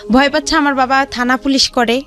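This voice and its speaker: Female, Bangladeshi accent, very high voice, rambling personal storyteller, faint music underneath. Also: fairly even intonation, a little fast. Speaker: guest telling their life story.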